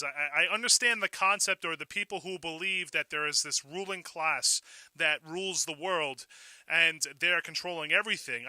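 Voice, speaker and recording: A man's voice: sits high, sharp tone, no echo. An American man hosting a radio call-in show, giving an opinionated monologue with emphatic delivery and rhetorical questions. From Fall River, Massachusetts, United States.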